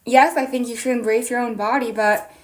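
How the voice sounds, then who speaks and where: A young woman with a high voice, speaking earnestly and insistently to camera in an opinion vlog, pressing her points with indignant questions. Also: fairly even intonation, fairly quick. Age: young adult. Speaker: TikTok creator.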